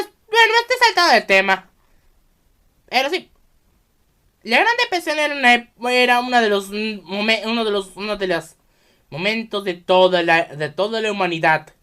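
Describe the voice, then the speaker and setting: A Mexican man hosts a solo video podcast, talking straight to his viewers. His voice is bright and swings freely up and down. He speaks haltingly, circling back and repeating phrases as he explains. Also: on the high side. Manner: halting, repetitive, often restarting sentences mid-phrase.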